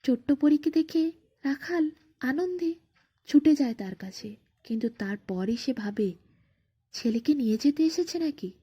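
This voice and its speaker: A woman narrating a bedtime fairy tale expressively, in short phrases with drawn-out dramatic pauses. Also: high-pitched, lively intonation, dark tone, highs cut off. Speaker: storyteller.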